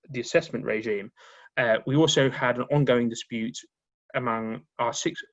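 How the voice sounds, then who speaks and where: Halting man on a dull call line. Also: medium pitch, a bit bright. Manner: halting, with many restarts and repeated words. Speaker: union marketing officer. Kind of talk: conference call presentation. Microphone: remote call audio.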